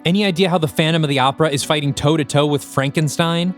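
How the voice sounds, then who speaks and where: An actor playing a terse, wry male adventurer in an audio drama, with a deadpan, clipped delivery. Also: fairly high pitch, fast, soft background music, lightly acted.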